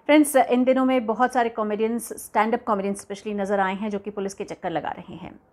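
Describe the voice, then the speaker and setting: A woman's voice: medium pitch, fairly even intonation, fast talker, a little mellow. A woman in her forties hosting an entertainment news commentary to camera from a room at home, speaking earnestly and measuredly with frequent hesitations.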